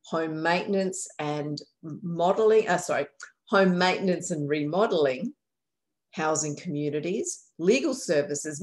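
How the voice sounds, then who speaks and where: A woman's voice: lowish voice, a little mellow. Woman guiding a website tour. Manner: friendly, explanatory, self-correcting. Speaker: county aging services guide. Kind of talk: screen-share website walkthrough. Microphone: computer mic on a video call.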